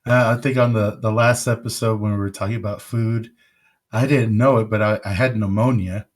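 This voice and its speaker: A man's voice: lowish voice, fairly even intonation, a little fast. A man hosting a casual podcast, recovering from pneumonia, rambling and joking with plenty of fillers. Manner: rambling, jokey, many fillers. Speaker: podcast host.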